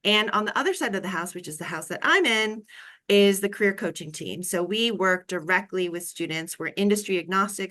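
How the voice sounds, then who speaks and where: A woman's voice: fairly low pitch, pushed and bright. A woman moderating an online panel as a career coach, speaking to an audience and to panelists.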